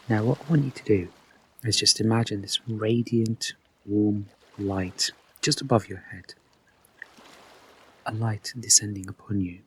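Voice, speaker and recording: A man leading a guided meditation with soft, breathy delivery, speaking gently and reassuringly to the listener in unhurried instructions. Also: lowish voice, slight background noise.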